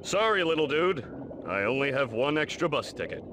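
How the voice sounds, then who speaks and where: A man's voice: a little high, sing-song, normal speed. A man voicing a laid-back, slangy beach dude in a cartoon video game. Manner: slangy surfer-dude talk. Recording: clear background noise.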